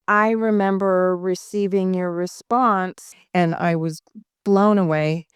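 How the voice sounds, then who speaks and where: A woman's voice: lowish voice, steady tone, slow pace, clean tone, no room sound. A woman speaking as a documentary interviewer, recounting and asking questions in a reflective style.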